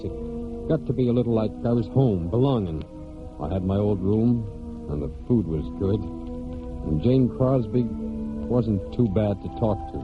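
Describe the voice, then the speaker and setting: An actor in a 1940s American radio drama playing a brooding young farm man and war veteran, speaking plainly and haltingly in rustic phrases. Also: fairly low pitch, lightly acted. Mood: wry, reminiscing. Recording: muffled 1940s radio broadcast with music.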